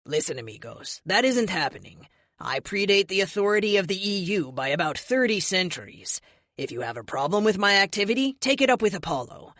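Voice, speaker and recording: Woman voicing an ancient warrior hero, calm, firm, a bit amused, lively and dry. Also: medium pitch, brisk, dry recording. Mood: amused defiance. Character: grinning, unyielding ancient male warrior hero.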